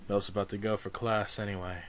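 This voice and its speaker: A man's voice: lowish voice, level tone, fast, heavy background noise, natural voice. A man playing a casual, wry teenage schoolboy in an audio drama. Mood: wry, doubtful.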